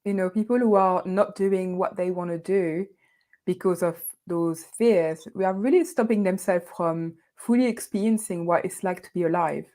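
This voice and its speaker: Lower-voiced French woman, quick laughs. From France. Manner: animated, laughs often, hesitant restarts. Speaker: guest coach. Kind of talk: conversational interview.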